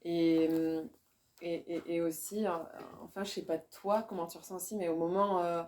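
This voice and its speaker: A woman poet, talking in a relaxed discussion, often hesitating and restarting as she searches for words. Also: on the low side, normal speed, slight background noise. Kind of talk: casual discussion.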